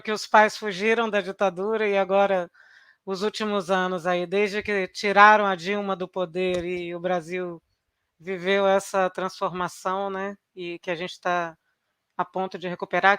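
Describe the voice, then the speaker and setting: Woman host, smooth voice, dry sound, friendly. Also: medium pitch, fairly bright tone, dry recording. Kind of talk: live online show hosting.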